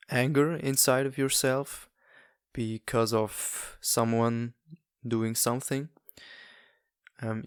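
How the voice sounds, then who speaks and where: A man's voice: medium pitch, smooth, no room sound, clean studio sound. A young man from Switzerland, speaking English as a second language, talks through his podcast alone and stops often for fillers and restarts. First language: not English. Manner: halting, many fillers and restarts. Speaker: podcast host. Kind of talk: casual solo monologue.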